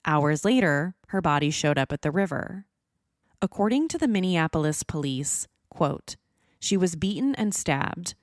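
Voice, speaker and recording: A woman's voice: fairly low pitch, animated, measured pace, dry recording, studio quality. A woman hosting a podcast, reading scripted true-crime narration. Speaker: podcast host.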